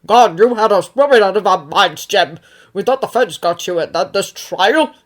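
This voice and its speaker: A woman voicing a gruff, hot-tempered middle-aged shop owner who barks his words bluntly. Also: high voice, lively intonation, normal speed.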